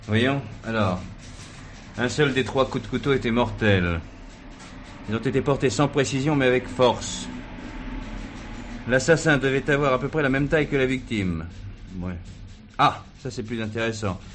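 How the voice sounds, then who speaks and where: An actor playing a calm, assured police commissioner in a drama, talking in a measured, patient and authoritative way. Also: male voice, mid-range voice, natural voice. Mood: methodical. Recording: noisy old drama recording.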